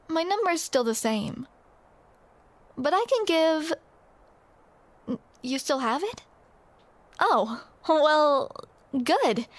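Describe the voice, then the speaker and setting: A woman's voice: sits high, dry recording. A young woman performing a scripted romantic roleplay to the listener, with playful, teasing banter.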